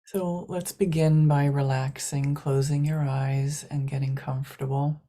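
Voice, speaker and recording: Slow, low-voiced woman guiding meditation. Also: little pitch movement. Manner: soft, hushed, long pauses between short phrases. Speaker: meditation guide. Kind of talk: guided meditation.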